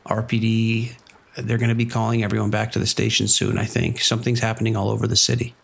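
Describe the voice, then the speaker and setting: Firm, commanding cop, gravelly voice, radio drama. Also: male voice, fairly low pitch, monotone, fast. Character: steady male vice cop on the radio. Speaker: police officer.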